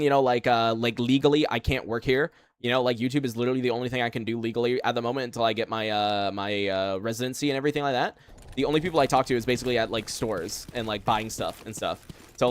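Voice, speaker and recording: A young man streaming a game, talking casually and rambling with lots of fillers as he plays and answers chat from a room at home. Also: mid-range voice. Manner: rambling, filler-heavy, chatty. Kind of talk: casual live gameplay chat.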